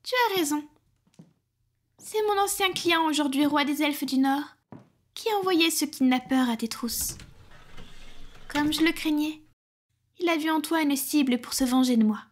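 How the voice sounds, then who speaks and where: A woman playing a powerful, protective female vampire in an ASMR roleplay, speaking in a soft, breathy, formal and self-assured way. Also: high-pitched, unhurried, long pauses. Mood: calm, confiding. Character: powerful protective female vampire, commanding yet tender lover.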